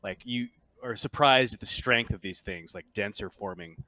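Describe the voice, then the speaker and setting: A man with a fairly low, bright and forward voice narrates a tabletop roleplaying game as its game master. He improvises in a conversational, halting way. The sound is very dry, with hardly any room to it. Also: dry recording.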